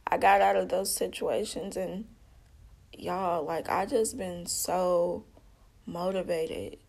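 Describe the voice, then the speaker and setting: A woman's voice: lowish voice, fairly even intonation, takes it slowly, gravelly, a little mellow. A woman giving an earnest personal testimony, speaking conversationally, calling her listeners y'all and repeating herself with small slips. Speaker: vlogger.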